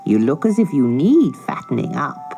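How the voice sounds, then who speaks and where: A woman voicing a sly old storybook witch with a shrill voice and a wheedling, falsely kind manner. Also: big pitch swings, moderate pace, gravelly, dark tone, faint music behind, heavily acted. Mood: falsely sweet.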